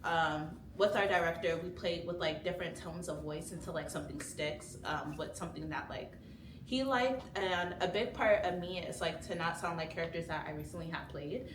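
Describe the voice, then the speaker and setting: Young student actress, hesitant casual delivery; roomy distant recording. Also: female voice, fairly low pitch, normal speed. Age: young adult. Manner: hesitant, many "um" and "like". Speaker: student actor. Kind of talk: casual chat about rehearsals. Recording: roomy, distant mic, noisy.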